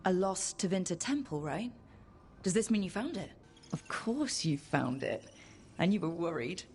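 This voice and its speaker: Friendly encouraging game heroine, sorta low voice, earnest n teasing, faint music. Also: female voice, big pitch swings, moderate pace, a little mellow. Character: warm, upbeat, encouraging young heroine. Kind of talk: cinematic video game acting.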